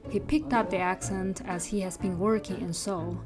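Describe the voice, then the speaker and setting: A woman's voice: medium pitch, normal speed, music in the background. Young Chinese woman travel vlogger. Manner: calm, explanatory, with frequent fillers. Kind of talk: travel vlog narration and on-camera talk. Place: train sleeper compartment.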